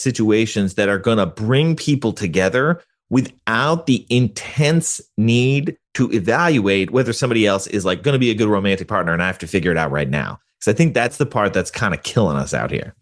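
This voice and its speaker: A man speaking as a guest expert in an interview, explaining research in an animated, colloquial way and acting out imagined quotes. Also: lowish voice. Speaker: guest expert, psychology professor.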